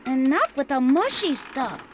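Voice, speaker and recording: A woman's voice: high-pitched, very animated, measured pace, soft background music. A woman voicing a blunt, impatient boy. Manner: blunt and dismissive. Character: impatient, gruff young boy. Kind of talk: video game cutscene dubbing.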